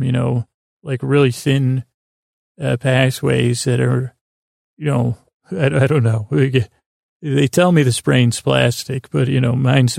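A man hosting a bedtime podcast in a gravelly voice, rambling in a halting, meandering, self-deprecating way. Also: medium pitch, darkish tone, dry recording, clean studio sound. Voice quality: gravelly, creaky. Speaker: podcast host.

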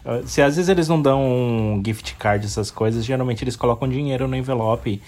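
A man in his thirties with a Brazilian accent, co-hosting a podcast with animated talk and frequent laughs. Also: medium pitch, brisk. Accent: Brazilian Portuguese. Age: 30s. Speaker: podcast co-host. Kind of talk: casual podcast chat.

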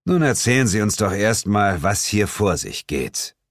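A man's voice: lowish voice, sing-song, measured pace, no room sound, clean studio sound, pitched above the performer's own voice, heavily acted. A man playing a self-assured, sarcastic male ghost hunter with a cajoling, defensive manner in an audiobook. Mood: coaxing. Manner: sarcastic, cajoling, growling at times.

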